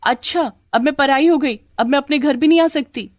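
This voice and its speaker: Cartoon bratty young wife, played by a woman, high, whiny, dramatic, dry sound. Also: fairly quick, no room sound, natural voice. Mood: indignant, stubborn. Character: childish, lazy, melodramatic, stubborn young married woman.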